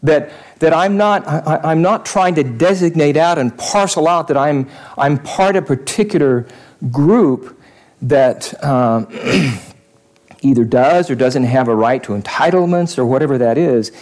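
A man's voice: a little high, unhurried, darkish tone. A male pastor giving a conversational sermon in church, repeating phrases for emphasis.

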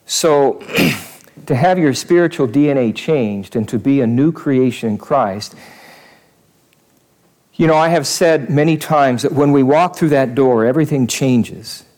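A man's voice: medium pitch, a little slow, a little mellow. A male pastor giving a conversational sermon in church, repeating phrases for emphasis.

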